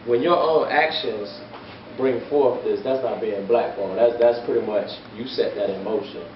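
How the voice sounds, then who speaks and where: A man's voice: medium pitch, moderate pace. A young man arguing sports in casual talk, emphatic and repeating his phrases, recorded by a distant camera in a barbershop. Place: barbershop, indoors. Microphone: far camera. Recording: echoey room, distant lo-fi mic, faint hiss.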